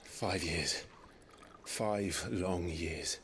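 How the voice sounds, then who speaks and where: An actor playing a brooding, determined rebel leader in a video game, solemn and measured. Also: male voice, low voice, fairly bright tone, a little hiss or hum, heavily acted. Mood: frustrated, burdened. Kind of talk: dramatic video game cutscene acting. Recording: cinematic music behind the voice.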